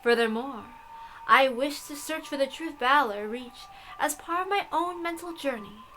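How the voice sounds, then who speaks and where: A woman voicing an ancient, frail, haughty witch from a visual novel, speaking quietly in measured, formal, imperious phrases. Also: high-pitched, faint music behind, low-quality recording. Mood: serene, superior. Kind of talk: visual novel read-aloud.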